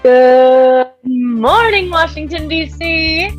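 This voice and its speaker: A woman's voice: high voice, drawn-out words, soft background music. A woman playing an opinionated morning news anchor in a comic radio play, with a brash, over-the-top presenter delivery. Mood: brash, announcing.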